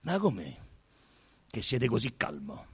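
An actor playing a stern, brooding police inspector who puts blunt, probing questions in a radio drama. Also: male voice, medium pitch, huge pitch swings, measured pace, creaky, fairly bright tone, husky, long reverb tail, full character voice. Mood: suspicious. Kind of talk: dramatised radio literary reading.

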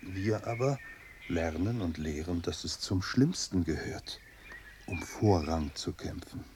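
An actor playing a serene, courteous old lamasery host in a German radio drama, speaking in a measured, formal, instructive way. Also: male voice, deep voice, lively intonation, a little mellow, slight background noise, heavily acted.

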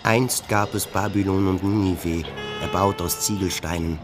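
Deep-voiced male literary narrator. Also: fairly even intonation, a little slow, music in the background. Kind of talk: scripted literary narration for radio play.

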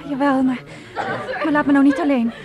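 A woman's voice: high voice, level tone, brisk, dull audio, lightly acted. An actress in a Dutch radio play voicing an impressionable, anxious girl of sixteen, emotional and breathless. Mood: frightened, pleading. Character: excitable, anxious sixteen-year-old girl, younger sister. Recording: radio play with crowd behind.

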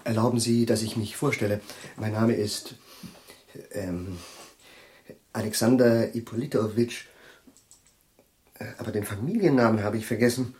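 Actor as jittery, absent-minded professor. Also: male voice, on the low side, darkish tone, lightly acted. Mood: flustered. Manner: halting, groping for words, formally polite. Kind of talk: German radio play acting.

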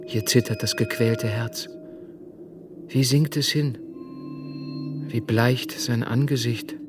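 An actor playing a grieving, penitent believer, reciting verse with solemn fervour in a German radio play. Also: male voice, medium pitch, steady tone, slow, noisy background, natural voice. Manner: solemn, declamatory, fervent. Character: grieving, penitent male believer lamenting the Passion.

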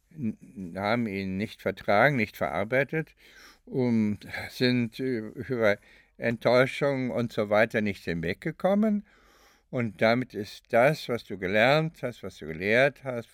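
Slow, searching, pensive man. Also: mid-range voice, smooth, no room sound, studio quality. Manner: halting, deliberate, thoughtful, with long drawn-out phrases. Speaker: performer in a radio play recording session. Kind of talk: re-enacting spontaneous reflective testimony.

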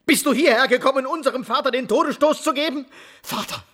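A man's voice: very high voice, fast, fairly bright tone. A man playing a scheming, villainous son in a radio drama, histrionic and accusing. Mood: feigned outrage. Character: scheming villainous son feigning shocked grief. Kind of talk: theatrical radio drama acting.

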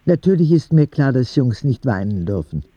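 A man's voice: medium pitch, big pitch swings, normal speed, warm tone, no room sound, lo-fi sound. A man narrating an audio drama as a candid, vulnerable ten-year-old sick boy who confides in a naive, plain way. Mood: wistful, vulnerable.

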